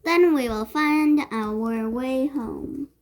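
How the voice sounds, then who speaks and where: A woman's voice: high-pitched, slow. A woman voicing a brave, caring young boy in a fairy tale, earnest and reassuring.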